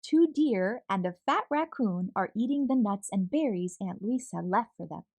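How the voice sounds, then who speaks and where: Animated woman reading a picture book. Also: medium pitch, moderate pace. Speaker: children's book reader. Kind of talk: read-aloud storytelling.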